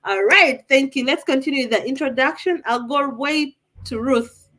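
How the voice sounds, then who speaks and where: A woman's voice: high voice, moderate pace, sharp tone. Upbeat woman hosting a live video call. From Uganda. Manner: upbeat, chatty, addresses viewers directly. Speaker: live show host. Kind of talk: hosting a live online panel.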